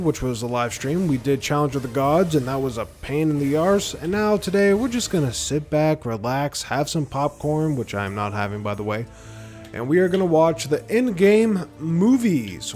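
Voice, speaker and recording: A man's voice: fairly high pitch, expressive, normal speed, darkish tone, soft background music. A man hosting a gaming video with casual, chatty commentary and joking asides. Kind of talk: casual let's-play commentary.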